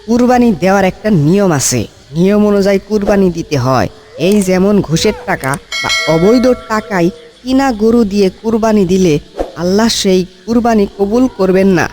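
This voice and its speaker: A man's voice: sits high, expressive, normal speed, darkish tone. A man voicing a pious cartoon villager who questions and scolds in indignant exclamations. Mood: shocked, scolding. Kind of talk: comic cartoon dialogue.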